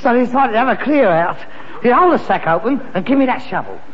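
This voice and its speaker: An actor playing a boastful, exasperated son who deals in rag and bone, emphatic and cajoling, with a working-class London accent in a British sitcom. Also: male voice, extremely high pitch, expressive, quick pace. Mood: cajoling salesman. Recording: muffled, reverberant, hissy vintage sitcom recording.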